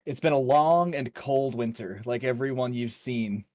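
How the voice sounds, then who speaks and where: A man's voice: medium pitch, normal speed, a little mellow. A man narrating a tabletop fantasy game as dungeon master, informal with his players.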